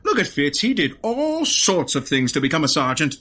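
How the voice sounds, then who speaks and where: A woman's voice: low-pitched, big pitch swings, moderate pace, fairly bright tone, full character voice. A woman voicing a pompous, righteous police commissioner in a comic audio drama, with a theatrical, oratorical delivery. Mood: bossy, theatrical.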